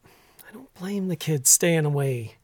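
A middle aged canadian country husband, troubled and halting, plain folksy talk, really dry sound, radio play. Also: male voice, on the high side, expressive, a little mellow, dry recording. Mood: uneasy, probing. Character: troubled, conscience-stricken middle-aged rural husband and father. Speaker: actor. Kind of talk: naturalistic audio drama acting.

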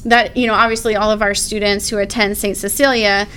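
An earnest woman principal speaks in an easy, conversational way, laughing lightly between points. Her voice sits at an ordinary middle pitch, with a faint low rumble in the background. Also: little pitch movement, brisk, a bit bright. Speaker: school principal. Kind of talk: casual interview talk.

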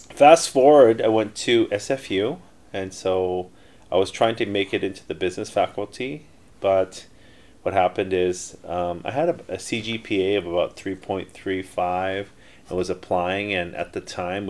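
A man's voice: low voice, darkish tone. A middle-aged man telling his life story in a casual interview, rambling with many fillers and restarts. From Canada. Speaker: interview guest, entrepreneur.

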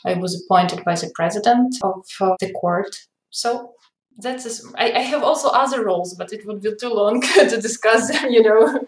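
A woman's voice: medium pitch, moderate pace, reverberant, rough recording. A woman in her forties, a lawyer being interviewed on a podcast, who explains things carefully with small slips in her English. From Ukraine. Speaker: arbitration lawyer, guest.

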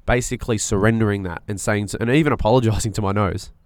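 A man's voice: fairly low pitch, steady tone, quick pace, dry recording, clean studio sound. A man with a nasal voice co-hosting a podcast, talking in an earnest, searching way with frequent restarts. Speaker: podcast co-host. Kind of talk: casual reflective chat.

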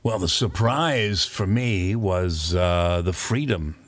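An older man, a former pirate radio DJ, telling a rambling, anecdotal story in a relaxed interview. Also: sits low, slow pace, dry recording. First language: not French; English speaker. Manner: rambling, anecdotal, with fillers and chuckles.

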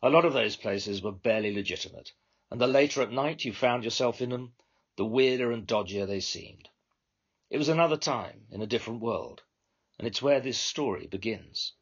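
Male author-narrator, British, husky mid-range voice, wry measured literary reading, slightly muffled sound. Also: medium pitch. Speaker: author reading own short story. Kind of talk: scripted first-person literary narration.

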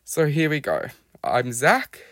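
An Australian man tells his own life story in an unhurried, thoughtful monologue. He pauses and gropes for the right words as he goes. His voice sounds close and dry, with no room echo. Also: on the high side, sing-song, fairly bright tone. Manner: reflective and halting, searching for words. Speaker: podcast guest sharing his life story.

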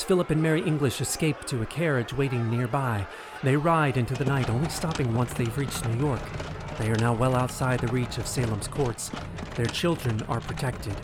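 Male narrator, mid-pitched, dramatic history. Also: noisy background.

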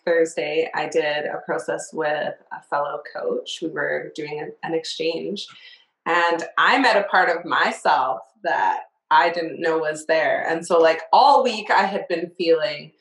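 A woman's voice: low-pitched, slow, fairly bright tone, echoey room, rough recording. A woman hosting a podcast, chatting casually and telling a personal story in an open, reflective way with easy laughs. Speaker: podcast host. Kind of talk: casual interview chat.

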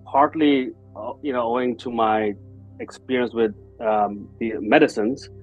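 A man in his forties from Bangladesh speaks as a podcast guest, thoughtful and earnest, pausing on fillers as he works through ideas. His voice sits at a middle pitch. The audio is a little muffled, with faint music in places. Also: fairly even intonation. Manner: reflective, earnest, with frequent fillers. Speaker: guest, entrepreneur. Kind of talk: conversational interview.

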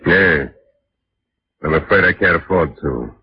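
Low-voiced lovelorn radio-drama chauffeur. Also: male voice, measured pace, slight background noise. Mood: wounded, bitter. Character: lovelorn, wounded chauffeur, secret lover. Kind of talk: 1940s radio acting. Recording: dull vintage radio recording, faint music.

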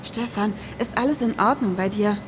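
A woman acting a gentle podcaster on a perilous expedition in a scripted radio play. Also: moderate pace, lightly acted. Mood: concerned. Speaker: podcast performer. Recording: hall reverb, faint rumbling noise.

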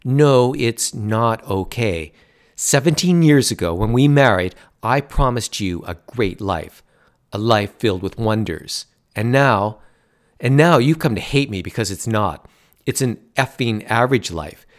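A man voicing an excitable, stubborn middle-aged father who talks insistently and grows indignant. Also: lowish voice, normal speed. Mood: outburst. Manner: insistent, growing loud and indignant.

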